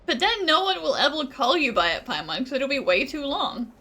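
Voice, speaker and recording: A woman's voice: high-pitched, animated, brisk, pushed and bright, a little hiss or hum. A woman playing through a game, reacting and reading dialogue in a wry, informal, casual way. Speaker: gamer playing a story quest.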